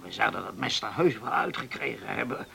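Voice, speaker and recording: An actor playing a garrulous old island man in his seventies, reminiscing in a halting, folksy way in a radio play. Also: on the low side, lively intonation, gravelly, sharp tone, breathy edge, heavily acted. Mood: animated storytelling. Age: 70s. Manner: folksy, halting, repeats himself, verbal tics. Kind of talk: radio drama acting.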